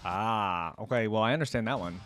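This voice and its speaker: A man's voice: fairly low pitch, fairly quick, over music. A man hosting a reaction video, giving casual, off-the-cuff commentary.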